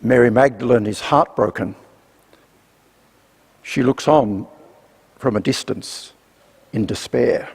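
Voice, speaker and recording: A man delivering a scripted sermon reflection gravely, with deliberate pauses between phrases, in a church. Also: medium pitch. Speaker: church speaker giving a reflection. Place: church, live service. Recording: reverberant church, faint noise.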